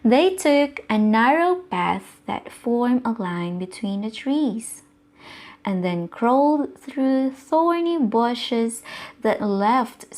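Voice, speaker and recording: A Filipino woman reads a rhyming bedtime story aloud at a slow, soothing pace. Her voice sits a bit high, and she pauses to ask her young listeners questions. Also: a little mellow. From Philippines.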